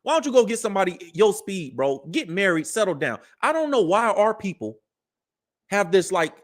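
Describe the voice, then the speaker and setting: A man in his early thirties hosts a live commentary show with a high voice. He lectures his audience in a preachy way and uses a lot of street slang, talking straight at the people listening. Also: very animated, moderate pace. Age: 30s.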